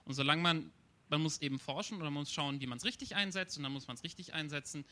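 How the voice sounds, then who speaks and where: Male panel guest, bright, slightly high voice, measured and argumentative. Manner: measured, restarts phrases while arguing. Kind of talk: panel discussion.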